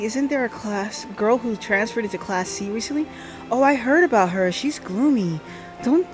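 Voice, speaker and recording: A woman livestreaming a game in a casual, chatty way, thinking aloud and reading on-screen text. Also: fairly high pitch. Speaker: live game streamer. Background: music.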